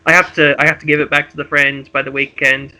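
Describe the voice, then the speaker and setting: Male podcast guest, kinda high bright voice, rambly and giggly, just chatting. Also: level tone, fairly quick, rough recording. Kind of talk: casual chat.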